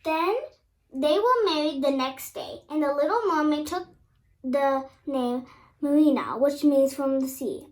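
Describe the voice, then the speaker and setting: Very high, childlike-pitched female story reader. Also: darkish tone. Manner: halting reading with stumbles and repeats. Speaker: storyteller reading aloud. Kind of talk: children's story read aloud.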